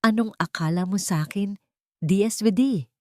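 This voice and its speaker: A woman's voice: medium pitch, moderate pace, no echo, studio quality, pitched above the performer's own voice, lightly acted. A woman with a Filipino accent voicing an irritable, world-weary old landlady in a curt, scolding manner, in a narrated story.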